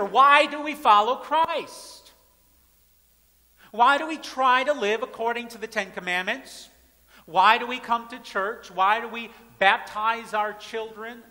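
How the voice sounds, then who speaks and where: A man in his thirties, a pastor, preaching at a pulpit in a large church sanctuary with emphatic, repeated phrases that swing between loud and soft. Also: high voice. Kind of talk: sermon. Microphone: pulpit mic, far camera. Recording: church hall reverb.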